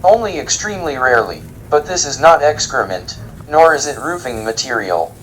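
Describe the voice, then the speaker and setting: A man's voice: mid-range voice, sing-song, normal speed, a bit bright, slight background noise, low-quality recording. A man playing a pedantic, literal-minded alien food expert in an audio drama, speaking formally and precisely. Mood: earnest, pedantic. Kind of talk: scripted audio drama dialogue.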